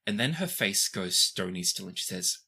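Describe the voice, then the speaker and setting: A game master with a bright, forward voice narrates a tabletop roleplaying game, describing characters and scenes to the players. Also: sits low, lively intonation, normal speed, creaky, husky.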